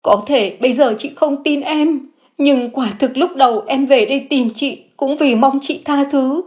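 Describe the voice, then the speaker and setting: A woman voicing a remorseful middle-aged sister-in-law who begs for forgiveness in a high, tearful voice. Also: measured pace, a little mellow. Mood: pleading. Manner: begging, shrill and tearful.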